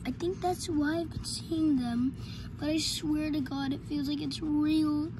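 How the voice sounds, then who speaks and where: A female performer voicing a frightened, sleepless boy in an amateur horror skit, whining, halting and pleading as he repeats himself. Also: high-pitched, steady tone. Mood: anxious, insistent. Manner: whiny, halting, repetitive, pleading. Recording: noisy homemade recording.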